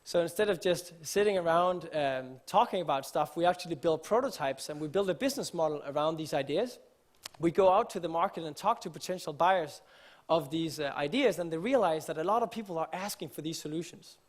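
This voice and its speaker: A man's voice: fairly high pitch, animated, a little fast. A man from Denmark, giving an earnest conference talk on stage, explaining with frequent fillers and restarts. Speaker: conference speaker. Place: conference stage before an audience.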